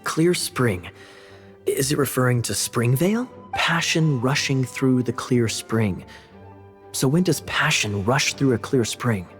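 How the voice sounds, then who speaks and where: A charming young knight, played by a man, with a mid-pitched voice that moves lively up and down. He talks smoothly and wryly, always half joking. Faint game music sits under his lines. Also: a little slow, lightly acted. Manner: smooth, wry and joking, with light laughs. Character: sly, teasing young male knight, playful and charming. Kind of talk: video game dialogue.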